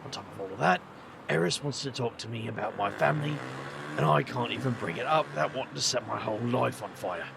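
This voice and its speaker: An actor playing a weary, sardonic adventurer with a dry manner in an audio drama. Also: male voice, mid-range voice, animated, quick pace, gravelly, background noise. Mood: weary, troubled. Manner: dry, world-weary, sarcastic. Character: weary, sardonic supernatural adventurer with family baggage.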